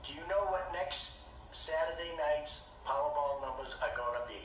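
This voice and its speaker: A man's voice: fairly high pitch, normal speed, a bit bright, a little hiss or hum. Brief: older Boston-accented man, joking, very echoey replay from a distance. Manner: joking, talking to a phone. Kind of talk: casual home video. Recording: very echoey clip replayed through a tablet.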